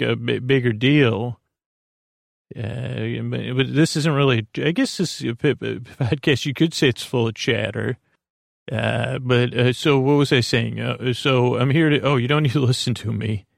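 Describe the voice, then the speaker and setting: A man with a creaky, gravelly voice hosts a sleep podcast, giving a soothing, meandering bedtime monologue with hesitant, repeating, lulling speech close to the microphone. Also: mid-range voice, no echo. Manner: meandering, hesitant, repeats and stumbles over words; lulling, gentle, drawn-out delivery.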